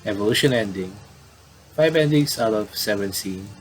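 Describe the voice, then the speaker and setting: A man doing casual game commentary and reading story text aloud, haltingly and with repeated words. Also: on the low side, animated. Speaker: gaming video commentator. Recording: clearly noisy home recording.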